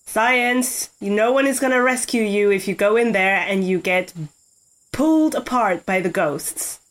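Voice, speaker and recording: A woman's voice: mid-range voice, a little slow. A woman playing a tabletop game, improvising role-play and narrating what her character does.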